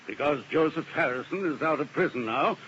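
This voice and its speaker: An American actor playing a gruff, domineering male businessman in a 1950s radio drama, curt and imperious. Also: on the high side, animated, brisk, a bit bright, dull audio. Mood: grimly certain. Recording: old radio recording, faint noise.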